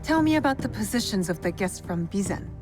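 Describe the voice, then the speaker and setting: An actress playing a calm, resolute young woman investigator in a video game drama, speaking in a measured, firm way. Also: medium pitch, close to an everyday voice. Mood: measured, probing. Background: background music.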